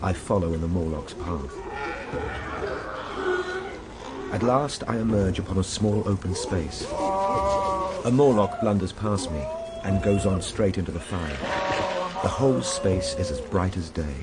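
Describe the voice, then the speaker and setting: Very deep-voiced, grave time traveler. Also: male voice, lively intonation, unhurried, loud music behind the voice. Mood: urgent. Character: male time traveler recounting his ordeal, grave and weary. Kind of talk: dramatic first-person radio drama narration. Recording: radio drama over loud background ambience.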